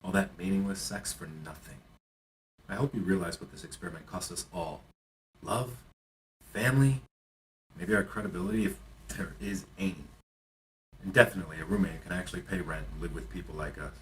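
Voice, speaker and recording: A man's voice: low-pitched, brisk, long pauses, far from the mic, a little hiss or hum, lightly acted, poor audio. A man playing a sardonic, stern young punk band member in a motion comic. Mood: bitter, sardonic.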